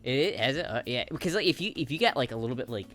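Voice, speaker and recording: A man's voice: mid-range voice, expressive, fast talker, a bit bright, soft background music. A man hosting a livestream in casual chat, stammering through restarts and laughing easily.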